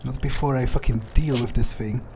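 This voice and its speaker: A man giving in-character game commentary, muttering his thoughts aloud in a halting, sweary, wryly understated way. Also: mid-range voice, steady tone, heavy background noise. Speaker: video game player narrating in character. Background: game music.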